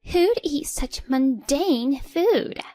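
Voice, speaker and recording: A woman voicing a snobbish, scheming middle-aged mother-in-law with a shrill, haughty manner. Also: sing-song, measured pace, soft background music. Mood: scornful.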